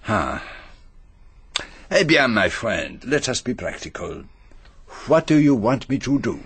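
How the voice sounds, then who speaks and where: An actor in a radio drama playing a shrewd, courteous detective with a French-speaking Belgian accent, speaking precisely and deliberately. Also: male voice, mid-range voice, sing-song, a bit bright, husky, no echo, full character voice. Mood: probing, businesslike. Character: shrewd, methodical, courteous foreign male detective.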